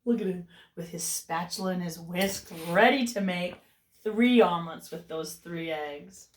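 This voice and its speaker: A woman's voice: lowish voice, slight background noise. A woman kindergarten teacher reading a picture book aloud expressively and chatting to young children. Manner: animated, explains words to children, drawn-out delivery when reading. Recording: roomy echo, distant mic.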